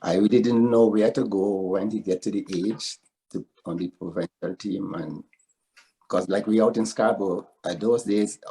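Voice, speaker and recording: A man's voice: lowish voice, normal speed, mellow, poor audio. An older man from Trinidad with a Trinidadian accent, telling his story in an interview in a proud, earnest way. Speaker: interviewee, father of a footballer. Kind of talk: casual interview.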